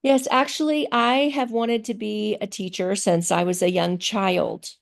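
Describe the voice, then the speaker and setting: Woman, middle-aged, slow unhurried speech, creaky texture, thoughtful pauses, video call interview. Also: medium pitch, steady tone. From grew up in Thailand. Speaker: interviewee, teacher. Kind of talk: casual interview answers.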